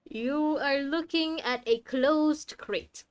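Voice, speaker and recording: A woman's voice: high-pitched, takes it slowly. A woman game streamer giving casual live commentary, chatty and musing aloud in questions. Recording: faint game music behind.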